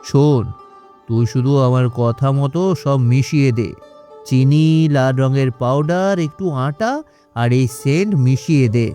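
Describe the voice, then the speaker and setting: A man voicing a boastful, scheming cartoon trickster from a village, out for quick money, with a wheedling, dismissive way of talking. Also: mid-range voice, mellow, faint music behind, natural voice. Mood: bossy persuasion. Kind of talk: animated cartoon dialogue.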